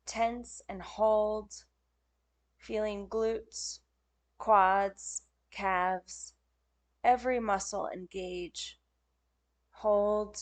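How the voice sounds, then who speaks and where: Slow-paced woman guiding meditation. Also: mid-range voice, fairly even intonation. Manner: gentle, unhurried, soothing instruction with pauses. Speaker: meditation teacher. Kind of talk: guided yoga nidra meditation.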